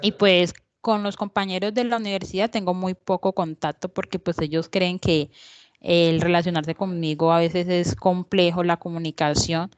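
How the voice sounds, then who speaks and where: A woman working as a sign language interpreter, voicing the guest's answers in the first person in a halting way, searching for words and using frequent fillers. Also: fairly low pitch, little pitch movement, clean tone.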